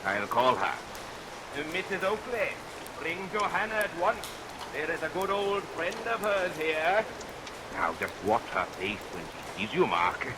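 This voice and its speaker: A man's voice: on the high side, very animated, measured pace. Blustery father, noisy old radio. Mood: bustling. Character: blustery, hearty Victorian father and husband. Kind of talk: old-time radio melodrama acting. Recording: noisy vintage radio recording under rain sounds.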